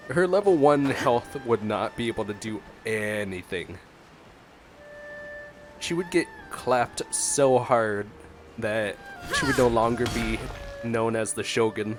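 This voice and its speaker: A man giving casual, slangy, chatty reaction commentary as he plays a video game. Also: on the low side. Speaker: gaming streamer. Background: faint game music.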